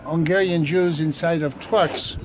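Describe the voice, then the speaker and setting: A man's voice: on the high side, fairly even intonation, a little slow. A man, giving blunt, emphatic testimony outdoors. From France. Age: 80s. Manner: blunt, emphatic short statements. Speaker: survivor interviewee. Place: outdoors at a memorial site. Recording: outdoor noise in background.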